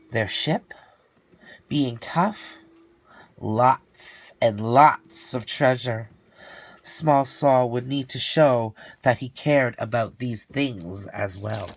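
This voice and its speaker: A man narrates a children's storybook at a slow, unhurried pace. His reading is expressive and theatrical, stretching out words for emphasis. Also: medium pitch, poor audio.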